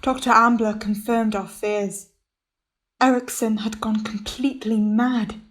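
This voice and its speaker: A woman voicing a measured, firm ship's captain in a radio play, narrating log entries and giving orders. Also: a little high, fairly even intonation, close to an everyday voice. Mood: sober. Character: steady male ship's captain keeping a log. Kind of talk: amateur radio drama acting.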